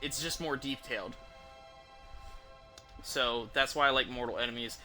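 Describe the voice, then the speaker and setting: A man hosts a livestream in a medium-pitched voice. He talks off the cuff to his viewers, rambling informally as he explains things. Music plays clearly behind him. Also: normal speed, sharp tone. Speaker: livestream host, gamer. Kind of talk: casual unscripted chat to stream viewers.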